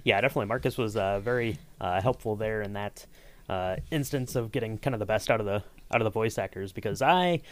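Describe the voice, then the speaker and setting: Guy hosting a podcast, lowish voice, chatty, lots of uh and um, kinda halting. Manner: halting, frequent ums and uhs. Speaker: podcast host and sound designer.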